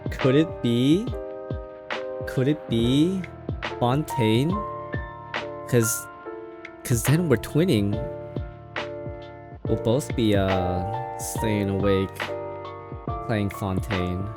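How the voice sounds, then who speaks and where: A man's voice: medium pitch, drawn-out words, long pauses. A man livestreaming a game in casual chat, sounding tired, rambling and playfully teasing. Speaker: game streamer. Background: game music.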